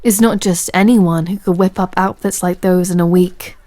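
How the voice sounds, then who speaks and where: A woman's voice: on the low side, fairly even intonation, moderate pace, dry recording, lightly acted. Lady doing a pirate captain woman, pirate accent, flirty and commanding, close dry mic, audio roleplay. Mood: warm admiration. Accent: stage pirate. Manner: teasing, gently commanding, old sailor slang. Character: swaggering, tender female pirate captain, gently commanding. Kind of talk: scripted roleplay audio, intimate second-person address.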